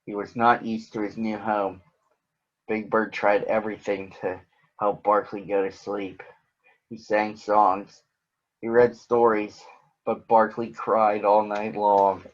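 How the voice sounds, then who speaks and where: A man's voice: fairly low pitch, little pitch movement, slow, muffled recording. A man reading a picture book aloud to children. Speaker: children's story reader.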